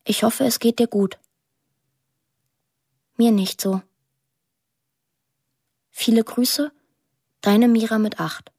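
An actress voicing a lonely, imaginative eight-year-old girl in a radio drama monologue, plain, deliberate and earnest. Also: a little high, steady tone, gravelly, darkish tone, breathy edge, no echo, studio quality, full character voice. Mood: tender, hopeful.

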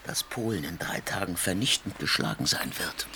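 An actor playing a cool, aloof older conductor in a radio play, speaking in a low, matter-of-fact way. There is audible noise on the recording. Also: male voice, expressive, normal speed, pushed and bright, full character voice. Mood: cold.

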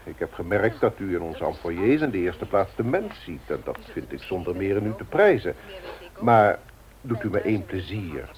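Haughty, animated company director. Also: male voice, deep voice, moderate pace. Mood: patronising. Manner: formal, authoritative, condescending. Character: haughty male company director, formal and sardonic. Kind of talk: Dutch radio play acting. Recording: muffled vintage radio drama recording.

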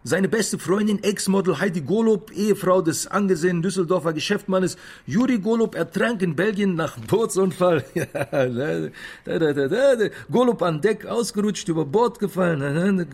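An actor playing an excitable young taxi driver in a radio drama, husky-voiced and full of street slang, stumbling over long words. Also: male voice, high voice, normal speed. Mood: excited. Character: excitable young male taxi driver, loyal chatty sidekick. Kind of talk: modern radio drama acting.

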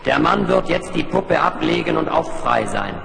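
Ein Schauspieler spricht in einem Science-Fiction-Hörspiel ein strenges Kollektivwesen, das feierlich und förmlich durch einen Besessenen redet. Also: male voice, on the high side, sing-song, gravelly, fairly bright tone, heavily acted. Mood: stern, demanding. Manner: solemn, formal, measured pronouncements. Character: stern collective alien mind speaking through a possessed man. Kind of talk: 1970s German science fiction radio drama acting. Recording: echoing long reverb, faint noise.

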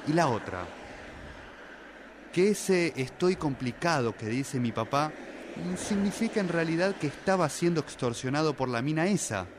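A man's voice: a little high, lively intonation, normal speed, close to an everyday voice. A man with an Argentine accent playing a grieving, suspicious son in a radio drama, speaking insistently as he thinks aloud. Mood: suspicious, theorizing. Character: grieving, suspicious son obsessively investigating his father's death. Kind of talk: Spanish-language radio drama acting. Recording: clear street and traffic noise.

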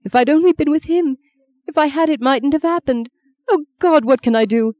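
A woman narrator plays a distraught grown daughter in a storybook drama. The voice is pushed high and loud with grief, close to sobbing, pleading and blaming herself. The sound is close and dry. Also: steady tone, normal speed, no echo, natural voice. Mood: guilt-stricken. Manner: pleading, emotional outbursts. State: anguished, tearful, sobbing. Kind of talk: dramatic audiobook character voice.

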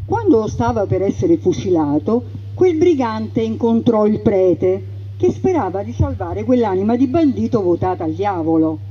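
A woman narrating a theatrical story in a measured, dramatic way. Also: medium pitch, animated. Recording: dull radio broadcast, faint noise.

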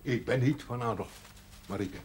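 An actor playing a gruff, stubborn, earnest rural man in a naturalistic television period drama. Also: mid-range voice, normal speed, close to an everyday voice. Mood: firm. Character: gruff, stubborn rural man, former poacher turned faith healer. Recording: 1970s TV audio with faint noise.

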